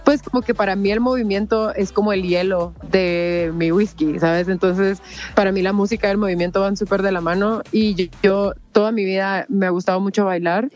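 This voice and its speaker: A woman's voice: mid-range voice, fairly even intonation, normal speed, a little mellow. Chatty young woman on a phone line. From Costa Rica. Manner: chatty and giggly, with many fillers. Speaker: singer, guest. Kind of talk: casual phone interview.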